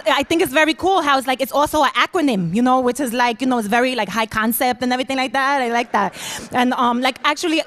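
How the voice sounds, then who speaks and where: A woman voicing a nervous young Dominican American college student from New York, rushing and filling every pause with "like" and "um". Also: fairly high pitch, fairly bright tone, close to an everyday voice. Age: young adult. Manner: nervous, rushed, peppered with "like" and "um". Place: large auditorium stage.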